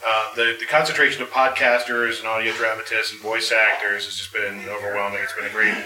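A man's voice: lowish voice, level tone, brisk, sharp tone. A wry, joking man chatting casually as a creator on a live panel in an outdoor courtyard. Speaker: audio drama creator, writer and panelist. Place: outdoor hotel courtyard at a convention. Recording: echoey, distant mic with crowd noise.

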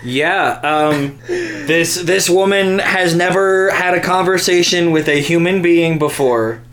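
A man chats as a comedy podcast co-host. His voice sits slightly high and he talks at a very leisurely pace, with a sardonic, sweary, informal manner. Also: animated, takes it slowly, a crowd in the background. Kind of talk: casual comedic chat.

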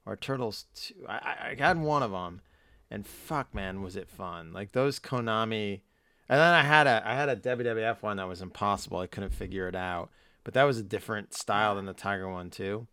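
A man in casual chat, rambling with lots of fillers and swearing, hoarse as he loses his voice. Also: lowish voice, a little fast, bright, forceful tone, no echo, studio quality. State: losing his voice. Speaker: podcast co-host.

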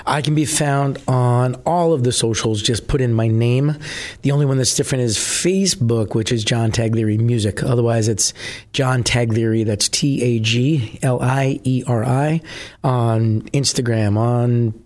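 Husky middle-aged man chatting. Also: medium pitch, steady tone, measured pace, a little mellow. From New Jersey. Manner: chatty, rambling, many "uh" fillers. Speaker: musician guest. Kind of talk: casual interview chat.

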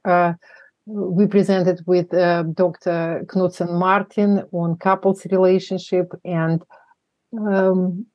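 A middle-aged woman with a Russian accent, a family therapist answering interview questions in halting speech full of pauses and fillers. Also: fairly low pitch, a little mellow, no room sound, rough recording.

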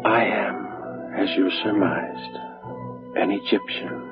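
Ancient Egyptian immortal: low, very slow, solemn and sorrowful menace, vintage radio drama, muffled with music. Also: male voice, steady tone, vocal fry. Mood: grave, brooding. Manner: slow, hushed, brooding, deliberate delivery. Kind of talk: 1940s radio acting.

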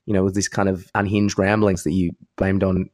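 Casting: male podcast co-host, lowish voice, curious, stop-start chatty questioning. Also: little pitch movement, a little mellow. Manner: halting, inquisitive. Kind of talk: casual interviewing chat.

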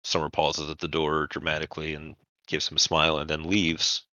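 A man narrating a tabletop roleplaying session as game master, in a halting, informal storytelling manner. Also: very deep voice, completely flat delivery, bright, forceful tone, dry recording, dull audio.

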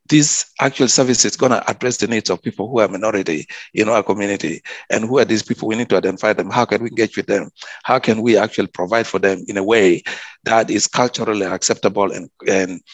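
A man's voice: fairly low pitch, no room sound. A man, a public health officer answering interview questions earnestly, often restarting and repeating words. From South Sudan.

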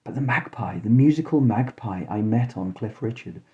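A man hosting and narrating a scripted comic horror story in a deadpan, mock-dramatic way. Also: medium pitch, moderate pace, narrow, tinny audio. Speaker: podcast host and storyteller.

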